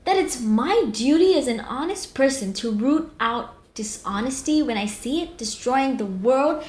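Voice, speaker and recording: A woman's voice: high voice, expressive, a little slow, darkish tone, reverberant. A woman playing an earnest, moralizing TV journalist in an audio drama, arguing emphatically and articulately. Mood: earnest, insistent. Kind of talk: amateur audio drama acting.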